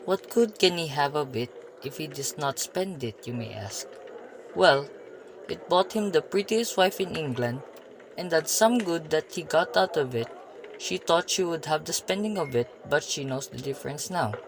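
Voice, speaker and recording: A man's voice: on the high side, expressive, moderate pace. A man playing a chatty, gossipy village innkeeper in a radio drama, rambling in an insinuating way. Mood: gossipy, sly. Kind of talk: hobby radio drama acting. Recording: clear background noise and ambience.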